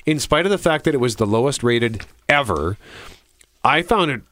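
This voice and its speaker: A man's voice: mid-range voice, lively intonation, moderate pace, fairly bright tone, dry recording. A middle-aged man hosting a show, chatting casually about entertainment. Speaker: radio show host. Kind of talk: casual movie and TV review chat.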